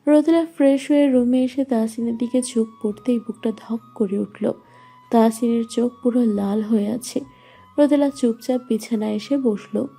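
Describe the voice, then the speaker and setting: A woman's voice: fairly high pitch, moderate pace, creaky, warm tone, breathy edge. A woman narrating a scripted romantic story. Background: faint music.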